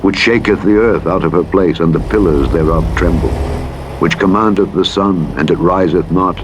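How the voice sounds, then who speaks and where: A man narrating scripture from a script in a solemn, measured, formal delivery. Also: deep voice. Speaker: audiobook Bible narrator. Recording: engine and vehicle noise over playback. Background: truck simulator engine noise.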